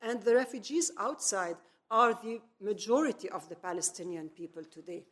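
A middle-aged woman from Lebanon giving a semi-improvised public lecture, phrasing deliberately and stressing key words. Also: medium pitch, a bit bright. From grew up in Lebanon. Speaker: speaker, activist lecturer.

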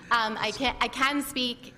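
A woman with a Lebanese-American accent speaks at a book talk with a bright, ringing tone. Her delivery is animated and chatty, full of restarts. A faint hiss sits under the recording. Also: a little high. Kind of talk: casual talk at a reading.

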